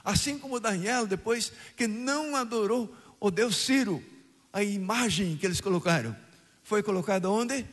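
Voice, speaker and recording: An older Brazilian priest from southern Brazil, reading the gospel and preaching a homily at mass, with emphatic rising phrases and questions put to the congregation. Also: male voice, sits high, moderate pace, fairly bright tone. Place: church. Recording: radio broadcast of a mass in a reverberant church.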